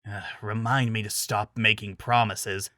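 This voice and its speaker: A man's voice: on the low side, little pitch movement, a little slow, sharp tone, dry recording. A man playing a grumpy, sarcastic wizard with a dry manner in a fantasy story reading. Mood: resigned. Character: grumpy, sarcastic robed male wizard companion.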